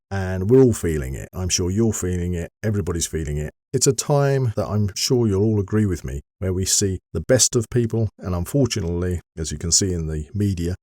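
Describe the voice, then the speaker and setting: Low-voiced man hosting a podcast. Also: warm tone, no echo, clean studio sound. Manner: upbeat, encouraging, chatty. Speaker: podcast host. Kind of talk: production update podcast, addressed to listeners.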